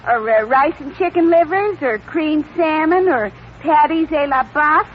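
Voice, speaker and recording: High-pitched, eager young restaurant owner. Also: female voice, measured pace. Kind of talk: 1930s radio comedy acting. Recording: muffled, noisy 1939 radio broadcast.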